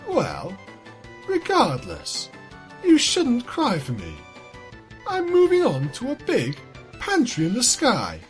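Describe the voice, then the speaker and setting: A talking cartoon cupcake on its deathbed, put on by a woman storyteller, slow and melodramatic. It is noble and consoling, with a halting, solemn delivery. Music sits behind the voice. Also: fairly high pitch, wildly swooping pitch, heavily acted.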